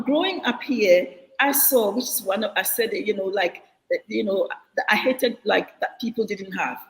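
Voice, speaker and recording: Earnest Ghanaian woman, echoey room. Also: medium pitch. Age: middle-aged. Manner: earnest, reflective, with frequent restarts. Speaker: guest. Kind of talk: interview answer. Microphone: video call.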